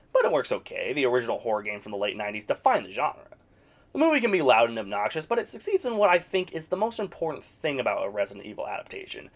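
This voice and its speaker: Male film reviewer, dry sarcastic humor, very animated intonation, medium pitch. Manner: wry and sarcastic, with mock-exasperated outbursts. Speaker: video game movie reviewer. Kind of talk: scripted casual review voice-over.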